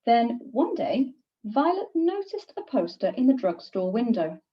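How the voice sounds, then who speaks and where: Woman, teacher reading aloud, expressive picture-book narration, lively melodic delivery, distant muffled recording. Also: fairly high pitch. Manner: expressive, deliberate storytelling for young children. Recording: distant, slightly dull microphone.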